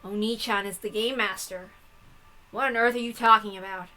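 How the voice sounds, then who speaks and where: Woman playing a blunt, bright-voiced defiant young woman. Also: mid-range voice, fairly even intonation, normal speed, faint music behind, lightly acted, poor audio. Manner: curt, loud, sarcastic. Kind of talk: visual novel read-aloud.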